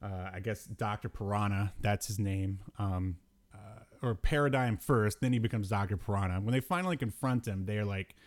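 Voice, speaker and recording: A man hosting a podcast, chatting casually about old cartoons in a halting, hesitant way. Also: fairly low pitch. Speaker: podcast host.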